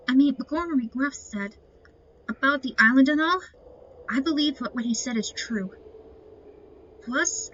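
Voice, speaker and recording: A woman's voice: sits high, a bit bright. A woman giving casual commentary on a game playthrough, explaining things to viewers as she goes. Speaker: gamer narrating a playthrough. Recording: muffled lo-fi mic with clear noise.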